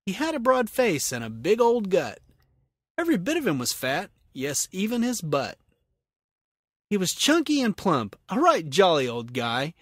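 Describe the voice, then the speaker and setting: A man's voice: high-pitched, huge pitch swings, moderate pace, a bit bright, no echo, clean studio sound. A man hosting a casual solo podcast in a jokey, self-deprecating manner. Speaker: podcast host.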